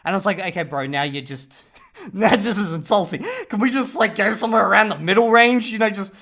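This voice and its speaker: A man's voice: high-pitched, brisk, creaky, bright, forceful tone. Blunt, swearing man reacting to a video. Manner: profane, blunt, laughing asides. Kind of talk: casual reaction commentary.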